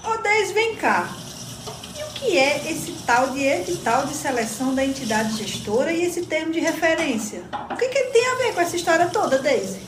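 A woman's voice: sits high, sing-song, moderate pace. A Brazilian woman playing a curious, doubting community member who asks questions colloquially in a radio drama. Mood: curious, questioning. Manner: colloquial, inquisitive. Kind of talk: scripted educational radio drama dialogue. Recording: echoey room, faint noise.

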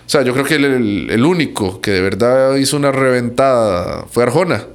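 A man's voice: mid-range voice, expressive, normal speed. A man from Costa Rica with a Costa Rican accent, a musician giving casual, conversational answers in an interview.

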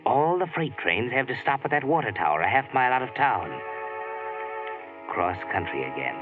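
A man's voice: medium pitch, sing-song, normal speed, narrow, tinny audio, music in the background, natural voice. A man narrating an old radio drama in the second person with tense urgency, on an old radio broadcast recording. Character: grim male narrator addressing a hunted woman.

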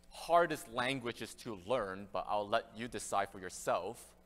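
Guy pastor giving a sermon, easygoing, restarts his sentences, voice rings around a big echoey church. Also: medium pitch. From Canada. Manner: earnest, conversational preaching with frequent restarts. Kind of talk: expository sermon. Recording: reverberant church hall.

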